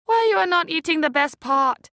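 A woman's voice: very high-pitched, lively intonation, moderate pace, sharp tone, dry recording, studio quality, pitched above the performer's own voice, exaggerated voice. A woman voicing a bossy, scolding middle-aged auntie who speaks broken English. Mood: authoritative.

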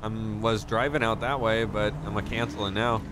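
Man, low voice, game streamer, informal casual talk, clear vehicle noise in background. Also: fairly even intonation, normal speed. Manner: informal, sweary. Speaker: live streamer playing a police officer in online roleplay. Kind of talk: casual gaming chat. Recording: car and engine noise behind. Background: vehicle game sound.

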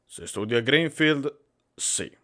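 A man's voice: medium pitch, animated, slow, pushed and bright. A man voicing an irritable, sarcastic teenage schoolboy with a sneering delivery in a cartoon dub. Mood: dry, irritated. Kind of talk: Italian fan cartoon dub.

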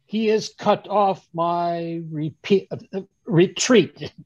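A man's voice: high-pitched, extremely slow, a little mellow, highs cut off, full character voice. A man playing a flustered, bumbling doctor who stammers his way through an old-style radio play. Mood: stiffly dramatic. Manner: hesitant, stammering. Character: flustered, bumbling male doctor, a detective's companion. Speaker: actor. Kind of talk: 1930s radio acting.

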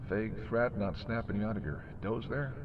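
Male vampire played by a male actor for audio roleplay: lowish voice, wry, predatory, intimate banter, over clear vehicle-like background noise. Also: a little fast, phone-quality sound, natural voice. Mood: teasing. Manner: wry, teasing, murmured banter. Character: teasing, sardonic male vampire with a predatory streak.

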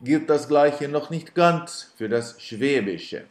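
Slow, dry man narrating. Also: fairly high pitch, lively intonation, roomy sound. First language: Swedish. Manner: dry, self-ironic storytelling. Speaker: cyclist narrating his trip video. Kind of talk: scripted voice-over narration.

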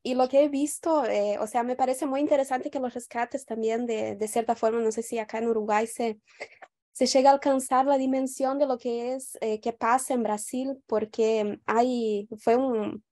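A woman's voice: fairly high pitch, steady tone, moderate pace, warm tone. A young woman from Brazil with a Brazilian Portuguese accent, speaking Spanish over a video call in an academic discussion, hesitant with many restarts. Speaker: audience member.

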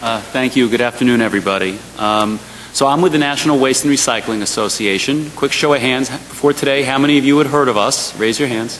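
A man's voice: medium pitch, fairly quick, a bit bright. A man in his forties giving a conversational panel talk into a table microphone in a large hearing room, engaging the audience with frequent ums. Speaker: trade association advocate. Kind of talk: policy panel presentation. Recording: long hall reverb, faint hiss.

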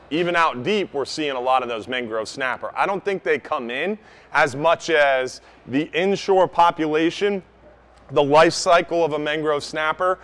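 A man's voice: fairly high pitch, fairly bright tone. A man in his thirties giving an informal, practical fishing-captain style talk to a live group in a store, speaking up emphatically, recorded from a few meters away. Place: inside a large outdoor goods store. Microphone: camera a few meters away.

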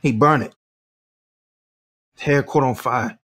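A man's voice: medium pitch, takes it slowly, fairly bright tone. A working-class father in a radio play. He speaks in blunt, slangy phrases. He is accusatory and confrontational, standing up for his boy. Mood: accusing. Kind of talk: audio drama acting.

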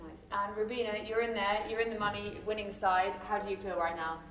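A woman's voice: mid-range voice, little pitch movement, fairly quick, fairly bright tone. Woman asking sports press questions, roomy echo, far from the mic. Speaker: press conference moderator. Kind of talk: casual sports interview questions. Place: indoor press conference room. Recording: echoey room, distant mic, faint noise.

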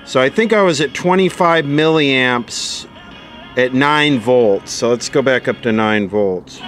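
A man talks casually as he works on a repair, in an average-pitched voice. He mutters and rambles off the cuff with dry sarcasm. Some faint music plays behind him. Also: measured pace, a bit bright. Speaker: radio repair video host. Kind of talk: casual off-the-cuff workbench narration.

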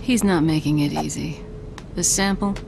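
A woman's voice: low voice, normal speed, gravelly, a little hiss or hum. An actress playing a sleek female spy who talks in a cool, teasing, aloof way, in a video game cutscene. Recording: game cutscene with loud music.

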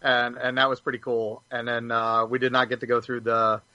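Guy panelist, bright forceful voice, casual chat, dry close sound. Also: mid-range voice, flat delivery, moderate pace, no room sound. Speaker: podcast panelist. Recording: dull, lo-fi audio.

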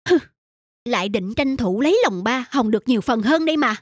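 A woman voicing a greedy, argumentative grown son in an animated folk tale. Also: a little high, fairly quick. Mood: resentful. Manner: grasping, argumentative. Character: greedy, quarrelsome adult son squabbling over inheritance. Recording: background music under the voice.

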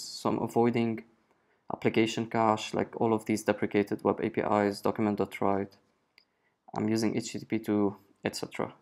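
A man in his thirties talking through a software tool in a relaxed screencast, with frequent fillers as he explains. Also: on the low side. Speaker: web developer presenting a screencast. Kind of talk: casual screencast walkthrough.

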